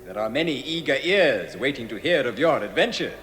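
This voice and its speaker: An actor playing a gracious, noble elf lord in a radio drama, speaking formally and with stately care. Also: male voice, medium pitch, very animated, a little fast, a bit bright, a little hiss or hum. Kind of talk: vintage British radio drama acting.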